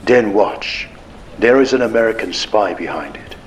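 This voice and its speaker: A man's voice: fairly low pitch, normal speed, lightly acted, poor audio. A man voicing a cold, menacing hijacker in an audiobook, speaking in a curt, threatening way. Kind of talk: audiobook character dialogue.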